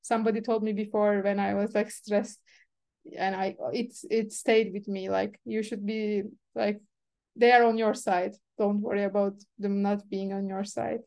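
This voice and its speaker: A woman whose first language is Turkish, hosting a webinar and chatting quickly with many fillers over a video call. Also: mid-range voice, little pitch movement, gravelly, darkish tone. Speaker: webinar organizer. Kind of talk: casual webinar chat.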